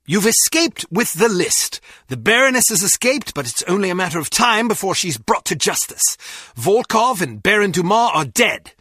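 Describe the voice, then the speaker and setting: An actor playing a stern, formal intelligence chief who gives measured, authoritative mission briefings in a video game. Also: male voice, high voice, very animated, bright, forceful tone, breathy edge, no room sound, studio quality, full character voice. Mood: satisfied, measured.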